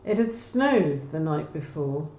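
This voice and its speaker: A woman's voice: deep voice, animated, slow, a little mellow. A woman reading scripted narration from a novel, telling the story aloud. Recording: roomy echo, faint background noise.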